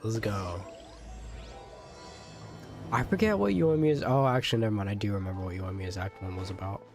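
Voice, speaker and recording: A man's voice: lowish voice, normal speed, faint music behind. A man giving casual, slangy live-stream commentary over a game in an offhand way.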